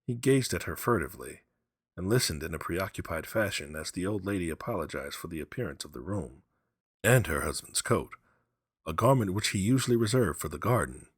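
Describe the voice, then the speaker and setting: A man's voice: low voice, lively intonation, a little fast, husky, dry recording, studio quality. A man reading a story aloud as a dramatic, scripted narration. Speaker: story reader.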